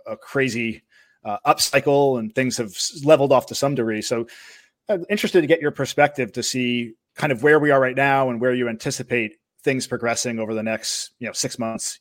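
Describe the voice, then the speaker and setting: Male podcast host, friendly and enthusiastic, conversational questions with frequent restarts. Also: mid-range voice. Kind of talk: casual interview questions.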